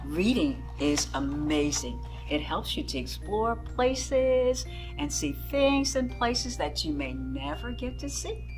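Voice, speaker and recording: A woman's voice: a little high, big pitch swings, slow pace, a little mellow, soft background music. A woman reading a picture book aloud to children, expressively and with dramatic emphasis, addressing her young listeners. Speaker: children's story-time reader.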